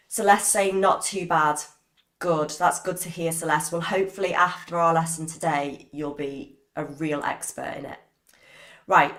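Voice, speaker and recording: A woman teaching a biology revision lesson over a live stream. Her lowish voice is hoarse from a cold. She keeps a chatty tone and greets her viewers by name. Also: fairly bright tone.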